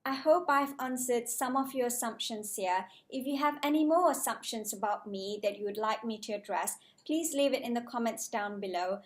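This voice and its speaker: A woman in her twenties from Malaysia, a junior doctor talking to her viewers in a measured, carefully prepared vlog style. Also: a little high. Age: 20s. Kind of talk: scripted-sounding casual vlog talk to camera.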